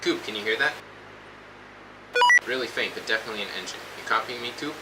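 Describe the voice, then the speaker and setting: Man acting as weary low-voiced soldier, hissy recording. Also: quick pace, lightly acted. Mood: tense, urgent. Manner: terse, military radio talk. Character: weary, cautious male soldier surviving after an apocalypse. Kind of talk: post-apocalyptic audio drama acting over radio. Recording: steady hiss, noisy radio-style recording.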